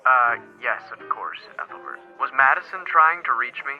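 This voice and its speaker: A man's voice: mid-range voice, lively intonation, moderate pace. A dry, hard-boiled man played by an actor talks through a dull, lo-fi telephone filter. It sounds like old-time radio drama, with faint music underneath. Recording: dull lo-fi long-distance phone line.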